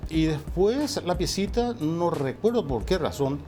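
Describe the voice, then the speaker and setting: Soft-spoken older man from Paraguay. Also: fairly high pitch, animated. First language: Portuguese. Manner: reflective, unhurried storytelling with many "eh" pauses. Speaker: musician and guest. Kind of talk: relaxed interview conversation. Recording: faint music underneath.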